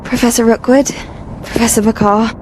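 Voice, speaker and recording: A woman's voice: fairly high pitch, flat delivery, moderate pace, lightly acted. A woman playing a polite, earnest teenage witch student in a video game. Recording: clearly noisy ambient background.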